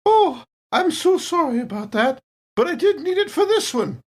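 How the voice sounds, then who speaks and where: A woman's voice: high-pitched, big pitch swings, normal speed, voice pushed up. A woman voicing a genteel, coolly confident lady with a dainty, sweetly dangerous manner in a tabletop game. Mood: mock apologetic. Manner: dainty, polite, mock-apologetic.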